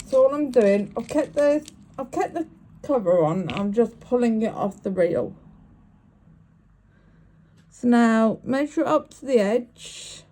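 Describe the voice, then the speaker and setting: A woman's voice: on the high side, lively intonation, slow, long pauses, warm tone. A woman, sniffly with a cold, talking through each step of making a bracelet in a chatty, apologetic way. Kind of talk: crafting tutorial.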